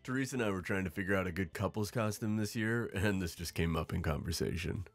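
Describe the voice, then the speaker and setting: Man acting a low, husky, easygoing boyfriend. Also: fast, faint music behind. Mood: friendly. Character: easygoing, slightly nervous boyfriend in cowboy costume. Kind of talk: audio roleplay acting.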